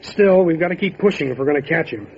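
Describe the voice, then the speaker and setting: Casting: man as resolute county sheriff for radio play, fairly high pitch, matter-of-fact, muffled lo-fi sound. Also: expressive, quick pace, creaky. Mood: focused. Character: steady, determined male county sheriff in mountain country. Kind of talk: old time radio drama acting. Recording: dull lo-fi audio with light noise.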